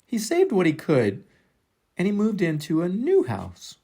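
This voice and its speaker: An older man reads a children's picture book aloud in an expressive, dramatic way, drawing out words for emphasis. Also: sits high, husky. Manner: dramatic, deliberate, drawn-out emphasis. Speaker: storybook reader for children.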